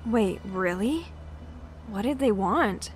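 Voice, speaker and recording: A woman's voice: medium pitch, expressive, takes it slowly, slight background noise. A woman playing a friendly but anxious young commuter in an audio drama, earnest and a little hesitant. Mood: concerned. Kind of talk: naturalistic audio drama acting.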